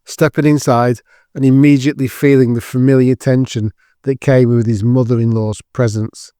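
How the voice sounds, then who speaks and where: A man's voice: medium pitch, flat delivery, moderate pace, mellow, no room sound, clean studio sound. A man giving scripted narration as the storyteller of an audio drama.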